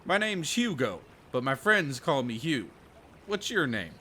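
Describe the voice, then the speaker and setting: Man acting a bright-voiced folksy country fisherman. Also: medium pitch, big pitch swings, a little slow, a little hiss or hum. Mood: hearty, helpful. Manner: folksy rural phrasing, dropped g's. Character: kindly rustic fisherman, folksy storyteller, hospitable. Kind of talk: audio roleplay acting.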